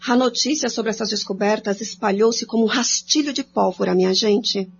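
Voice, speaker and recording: A woman's voice: mid-range voice, vocal fry, a little hiss or hum. A woman with a Brazilian accent narrating a radio drama, telling the scripted story directly to listeners. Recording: dull lo-fi, faint music underneath.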